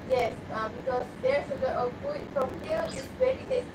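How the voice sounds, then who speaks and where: A woman from Malaysia chatting with a street interviewer, giggly and animated. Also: high voice, brisk. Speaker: passer-by. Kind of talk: casual street conversation. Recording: echoey, distant, loud street noise.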